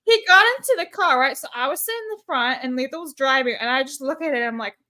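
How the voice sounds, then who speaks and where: High, bright-voiced chatty woman. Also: fast talker. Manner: chatty, animated storytelling, laughs a lot. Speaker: guest streamer. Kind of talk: casual livestream chat.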